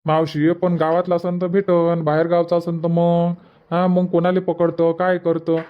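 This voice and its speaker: Man voicing a high-pitched fretful young villager. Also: completely flat delivery, moderate pace, smooth, dull audio, natural voice. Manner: fretful, questioning, emphatic. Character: worried young village son, fretting over costs. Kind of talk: Marathi cartoon comedy dubbing.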